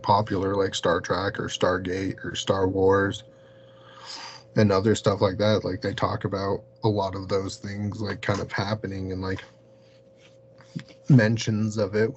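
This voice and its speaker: A man's voice: fairly low pitch, a little mellow. A man who is an interview guest, telling anecdotes casually in a rambling way and saying "like" very often. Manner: rambling, very frequent "like". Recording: muffled lo-fi audio, hum and hiss.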